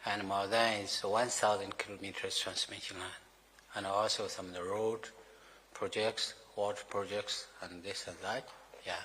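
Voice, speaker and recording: A man giving formal remarks as a company executive in halting English, full of fillers and grammar slips. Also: lowish voice, flat delivery, pushed and bright. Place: formal meeting room. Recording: reverberant meeting hall.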